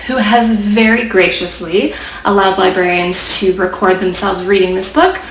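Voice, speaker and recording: A woman's voice: mid-range voice, fairly even intonation, moderate pace, far from the mic. A woman librarian leading a children's storytime, speaking simply and encouragingly to young listeners and reading aloud. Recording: roomy echo, faint background noise.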